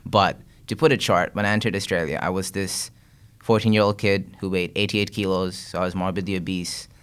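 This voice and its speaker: A young man from Bangladesh speaks as an interview guest, his voice sitting very low. He talks in an earnest, reflective way, often pausing on fillers and restarting his sentences. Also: steady tone, a bit bright, clean tone. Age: young adult. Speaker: guest content creator. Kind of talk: casual interview talk.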